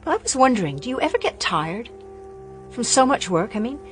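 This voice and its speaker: Young woman, uneasy and probing, animated pitch. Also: fairly quick, faint music behind. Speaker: daughter of the house. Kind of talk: radio drama acting.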